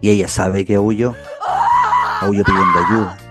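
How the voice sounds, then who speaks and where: Very low-pitched grave male storyteller voiced by an actor. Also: little pitch movement, normal speed, raised voice, lightly acted. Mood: grave, indignant. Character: grave, indignant male storyteller witnessing a crime. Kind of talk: literary dramatic narration. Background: music.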